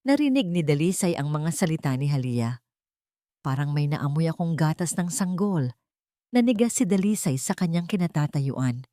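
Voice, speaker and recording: Woman, story narrator, scripted romance drama, dramatic delivery, slightly low voice, dry recording. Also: warm tone, no echo.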